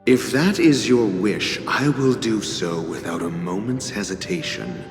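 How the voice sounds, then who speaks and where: A man voicing a haughty, sardonic scholar in a video game, speaking with formal diction and dry, measured condescension. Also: lowish voice. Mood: cool, sardonic. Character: haughty, sardonic male scholar, coolly exasperated and erudite. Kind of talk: video game cutscene voice acting. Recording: game dialogue over background music. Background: background music.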